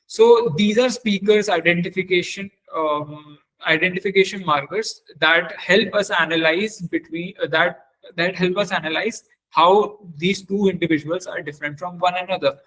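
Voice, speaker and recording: Higher-pitched man giving a halting lecture. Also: fairly bright tone, low-quality recording. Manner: hesitant, halting delivery with frequent fillers and repeats. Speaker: webinar trainer, lecturer. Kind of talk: explanatory technical lecture over slides.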